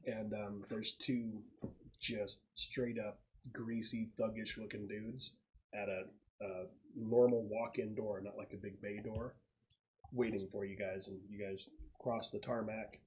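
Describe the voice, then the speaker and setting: A man narrating a tabletop game as game master in a casual, slangy way with halting descriptions. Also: lowish voice, level tone, poor audio.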